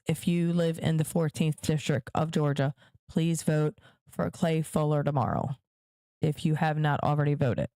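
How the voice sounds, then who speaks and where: A woman's voice: sits low, warm tone, dry recording. A woman hosting her own podcast, giving emphatic political commentary straight to her viewers and repeating points for stress. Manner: direct address to viewers. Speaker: podcast host.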